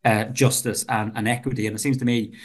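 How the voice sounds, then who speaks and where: A man's voice: medium pitch, level tone, brisk. A man in his fifties with a Dublin Irish accent, a professor talking earnestly as a podcast guest and often repeating words as he starts a sentence. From Ireland. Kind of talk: podcast interview conversation.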